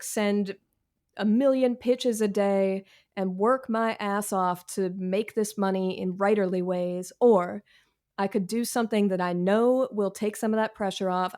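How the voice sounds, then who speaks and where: Woman, thirties, medium-pitched voice, frank, self-deprecating, slangy, conversational guest. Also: a little mellow. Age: 30s. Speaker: author, podcast guest. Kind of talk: candid conversational interview.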